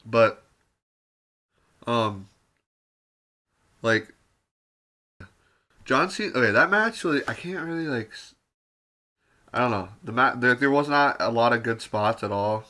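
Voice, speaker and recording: A man giving a rambling, casual spoken review full of fillers and mild swearing, his voice turning raspy with occasional coughs. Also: mid-range voice, lively intonation, unhurried, long pauses, bright, forceful tone. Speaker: wrestling show reviewer.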